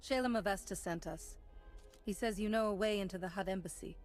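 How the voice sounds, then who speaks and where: A female actor plays a resolute Jedi master in a video game. Her mid-pitched delivery is measured, formal and composed. Faint music plays underneath. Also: natural voice. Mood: composed, inquiring.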